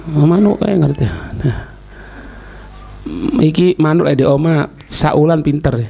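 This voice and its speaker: A man's voice: on the high side, slow, vocal fry, dark tone, a little hiss or hum. A man with a Javanese accent teaching a religious lesson, didactic, repeating phrases and checking that students follow. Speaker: Islamic teacher.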